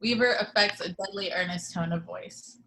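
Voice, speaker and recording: A woman's voice: fairly low pitch, moderate pace, creaky, bright, forceful tone, rough recording. A woman reading scene headings and action lines as narrator of a script table read.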